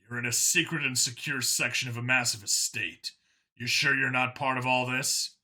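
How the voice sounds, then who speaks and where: A man's voice: mid-range voice, steady tone, normal speed, pushed and bright. A man voicing a tough human undercover cop in a sci-fi audiobook, wry and casually threatening. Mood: questioning.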